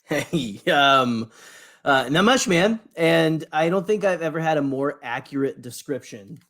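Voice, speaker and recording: A male podcast co-host whose voice sits a little high for a man. He chats casually and trades banter, teasing in a wry, playful way. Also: animated, unhurried, a bit bright.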